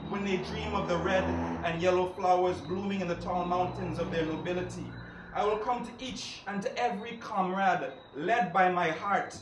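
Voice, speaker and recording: A young man gives an impassioned, solemn, declamatory poetry recital. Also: sits high. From Guyana. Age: young adult. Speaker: poetry reader. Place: live recital event in a room. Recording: roomy, distant mic, faint noise.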